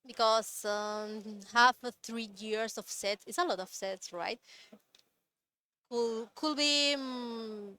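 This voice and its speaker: A woman co-hosting a live stream, speaking haltingly and searching for her words. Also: fairly high pitch, bright, forceful tone, clean tone. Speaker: event co-host. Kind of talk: casual live stream chat.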